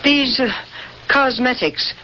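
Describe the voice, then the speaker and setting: A woman's voice: sits high, slow, a little hiss or hum. An actress in her thirties playing a stern young woman interrogator on an alien world, cold, formal and probing. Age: 30s. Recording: muffled old radio broadcast.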